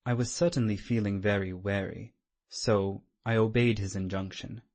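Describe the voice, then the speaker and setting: Male narrator for classic fiction audiobook: lowish, slightly raspy voice, measured literary reading, dramatic but scripted. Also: fairly even intonation, a little mellow.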